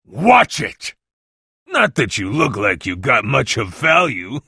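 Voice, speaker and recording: Gruff male game butcher, folksy huckster, slow drawn-out delivery, swooping pitch. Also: sharp tone, dry recording, studio quality, heavily acted. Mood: menacing. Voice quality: gravelly, raspy. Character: gruff, hard-bargaining male butcher and street vendor. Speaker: video game voice actor. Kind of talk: video game character voice lines.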